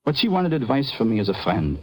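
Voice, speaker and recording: An actor playing a proud, defensive immigrant European doctor in a vintage radio drama, speaking formally, precisely and with earnest indignation. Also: male voice, medium pitch, big pitch swings, fast talker, a little mellow, dry recording, rough recording. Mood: impassioned.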